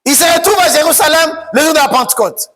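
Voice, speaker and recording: A man preaching a sermon as pastor, shouting and sing-song, repeating phrases over and over. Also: very high-pitched, quick pace. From Democratic Republic of the Congo.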